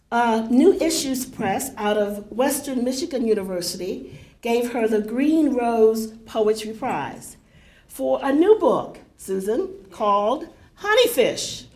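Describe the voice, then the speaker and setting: A woman's voice: fairly high pitch, big pitch swings. An older woman directing a poetry center, giving chatty welcoming remarks with a big voice and many fillers to a live audience in a university hall. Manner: warm, chatty, unhurried with frequent fillers.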